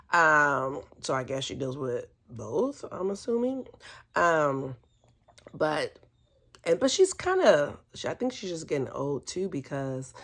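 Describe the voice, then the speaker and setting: A woman telling a personal story in a casual, candid and chatty way, often amused and hesitant as she picks her words. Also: deep voice. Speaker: vlogger telling personal stories.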